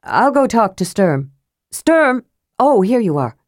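A woman's voice: medium pitch, big pitch swings, normal speed, darkish tone, no echo, clean studio sound. A woman voicing a big, simple-hearted young male warrior who talks earnestly and eagerly to please. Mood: relieved. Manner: pleading, earnest. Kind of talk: audiobook character voice.

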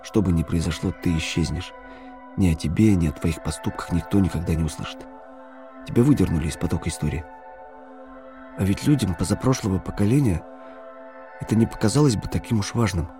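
Low-voiced male narrator over music. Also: brisk, pauses often. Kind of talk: dramatic scripted narration of a novel.

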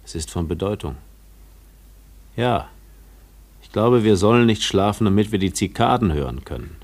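An actor playing a guarded man who keeps away from the world, talking in reserved, deliberate phrases in a German radio play. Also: on the low side, expressive, darkish tone. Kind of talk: German radio play acting, literary dialogue.

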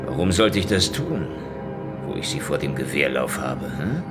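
A hardboiled Soviet secret-police detective in a radio play, his deep voice dry and sardonic as he probes and needles. The pitch swings widely from line to line, and music runs underneath. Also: big pitch swings, moderate pace. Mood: bargaining. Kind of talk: radio drama acting.

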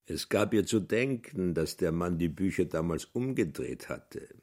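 A storyteller in a radio play. His voice is very deep. He reads the literary prose slowly, with deliberate phrasing. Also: animated, darkish tone. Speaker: narrator. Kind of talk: scripted literary radio drama narration.

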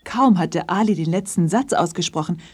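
Very husky, lowish-voiced woman storyteller. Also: normal speed, darkish tone. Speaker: narrator. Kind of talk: scripted children's story narration.

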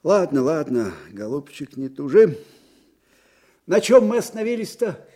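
Give this radio drama an old, disgraced field marshal. His voice is husky and fairly high for a man, with a wide, restless swing in pitch. He is folksy and wistful, yet proud and fiery. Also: sing-song, unhurried, warm tone. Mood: wistful, musing. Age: older. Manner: folksy, musing, swinging from murmurs to ringing declamation. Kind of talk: Soviet radio drama acting.

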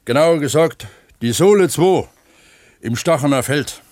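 Eager, higher-voiced pit pump man. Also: lively intonation, measured pace, a little mellow, no echo, clean studio sound. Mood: eager, considerate. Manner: earnest, explanatory, like a tour guide. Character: robust, eager pump attendant at an opencast mine, amateur novelist. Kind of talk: naturalistic 1970s radio drama acting.

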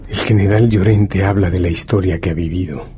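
A man delivering dramatic scripted narration in deliberate, measured phrases. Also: deep voice, fairly even intonation, dark tone, slight background noise. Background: music.

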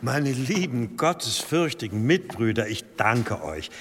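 A man's voice: mid-range voice, sing-song, a little slow. A man playing an authoritative monastery abbot in a radio play, formal, courteous and paternal in manner. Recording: long reverberant hall, some noise.

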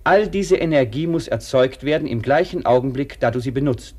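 A man's voice: medium pitch, brisk, a little mellow, close to an everyday voice. A man delivering precise, explanatory scripted narration in a radio drama.

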